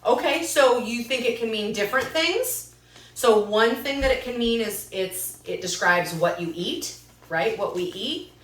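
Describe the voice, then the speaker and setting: Bright, animated woman teaching young children. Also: fairly high pitch, unhurried. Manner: prompting, repeats answers back, affirming. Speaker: teacher reading aloud to children. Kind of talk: interactive read-aloud lesson with questions. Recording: roomy echo, distant mic.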